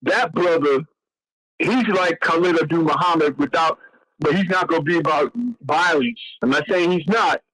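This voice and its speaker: A man in his sixties with a fairly high voice, talking in a loose, rambling way. He swears freely and trips over names mid-sentence. The sound has a broadcast radio feel. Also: moderate pace, fairly bright tone, low-quality recording. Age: 60s. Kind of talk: casual chat.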